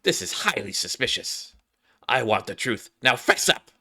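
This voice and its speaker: A man's voice: mid-range voice, very animated, moderate pace, bright, forceful tone, full character voice. Man voicing a pompous, overzealous young lady detective. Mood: accusing. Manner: pompous, theatrical, accusing.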